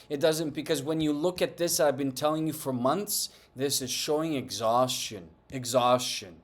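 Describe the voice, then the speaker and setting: A man whose first language is Greek, speaking English with a Greek accent as the host of a finance channel. He gives opinionated market commentary in an emphatic, lecturing way and keeps tagging his points with questions. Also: medium pitch. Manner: emphatic and lecturing, with many tag questions and taunts.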